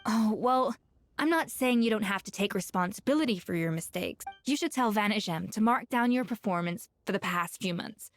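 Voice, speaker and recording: A woman voicing a confident female head chef with dry, withering wit and a calm, commanding manner. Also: mid-range voice, moderate pace, close to an everyday voice. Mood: composed. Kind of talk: game voice acting. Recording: game audio with soft music.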